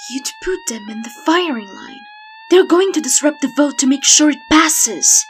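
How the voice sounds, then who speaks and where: A woman playing a determined, urgent young investigator in an audio drama, with a husky voice. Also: high voice, sing-song, normal speed.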